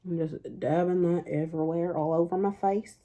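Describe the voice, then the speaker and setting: Woman with a low mellow voice. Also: fairly even intonation, a little fast. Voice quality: fairly deep speaking voice. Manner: rambling, chatty, heavy use of "like" fillers. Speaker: beauty vlogger. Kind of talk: casual makeup tutorial chat.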